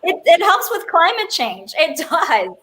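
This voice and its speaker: A woman's voice: very high voice, very animated, unhurried, fairly bright tone. A woman from Colombia co-hosting a talk show in a casual, chatty style. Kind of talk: casual chat.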